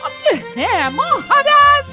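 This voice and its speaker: A woman plays a cunning old cartoon crone who squeals and scolds, her voice very high and swooping up and down. She speaks slowly and stretches her words. Music plays loudly beneath her throughout. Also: sing-song, full character voice. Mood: pleading. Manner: shrill, scolding, wheedling. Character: scheming old woman, elder sister. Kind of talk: cartoon voice acting. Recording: music under voice.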